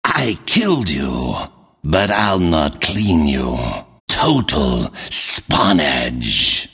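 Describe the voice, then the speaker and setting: A man voicing a menacing, grim and proud deep-sea fish-man guard for a video game, in a raspy, growling voice with a forceful delivery. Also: big pitch swings, extremely slow, full character voice. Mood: gloating. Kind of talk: video game character voice lines.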